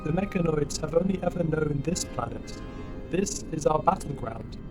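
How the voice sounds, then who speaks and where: A man's voice: a little high, animated, moderate pace, distant mic, close to an everyday voice. An actor playing a literal-minded male war robot in a science fiction audio drama, guarded yet naively curious, with stilted formal phrasing. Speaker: robot soldier. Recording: music behind.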